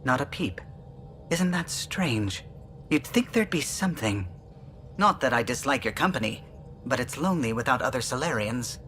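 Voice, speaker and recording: Male actor as alien starship pilot, video game, animated intonation, chatty and precise, nostalgic, faint music. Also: medium pitch, normal speed, lightly acted. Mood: wistful, polite. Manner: chatty, fond reminiscing, precise. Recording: music behind the voice.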